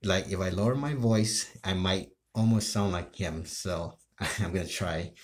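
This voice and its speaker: A man chatting casually to his viewers as a video creator, rambling and circling back over halting explanations. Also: deep voice. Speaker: video creator and amateur role-player.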